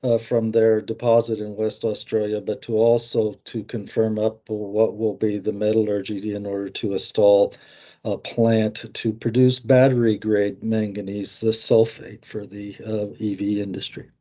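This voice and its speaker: A man with an American accent giving market commentary, halting often with fillers. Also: fairly low pitch, level tone, dark tone, muffled recording.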